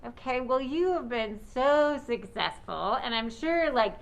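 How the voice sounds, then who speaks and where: Female children's host, high voice, encouraging, enthusiastic interviewer. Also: animated, measured pace, vocal fry, poor audio. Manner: encouraging, addresses children as friends.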